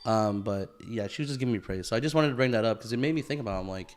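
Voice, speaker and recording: A man's voice: lowish voice, racing, a little hiss or hum. A man co-hosting a podcast in casual chat, rambling with many fillers like "dude" and "like" and crude jokes. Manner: rambling, laughing, crude joking; heavy use of "dude" and "like" fillers. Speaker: podcast co-host.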